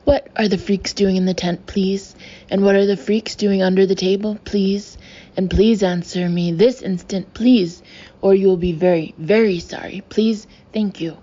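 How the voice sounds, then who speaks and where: A woman's voice: medium pitch, steady tone, moderate pace, dark tone, lightly acted. A woman voicing a bossy fake fortune teller with an odd put-on accent who snarls orders and says please constantly. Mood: snarling. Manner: snarls orders, tacks "please" onto everything. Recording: dull lo-fi with faint hiss.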